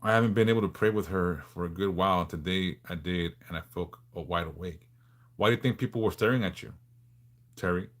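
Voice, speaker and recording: A man in his forties from Southern California, hosting a casual livestream and answering viewers in a frank, laid-back way with halting restarts. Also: deep voice, fairly bright tone. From Los Angeles, California. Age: 40s. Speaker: livestream host.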